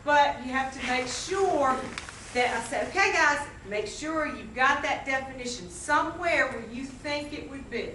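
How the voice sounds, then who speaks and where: A woman's voice: sits high, a little slow, pushed and bright. A woman teacher presenting classroom games to colleagues at a training, talking chattily and restarting her sentences. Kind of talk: classroom demonstration talk. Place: school meeting room. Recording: roomy, distant mic, faint noise.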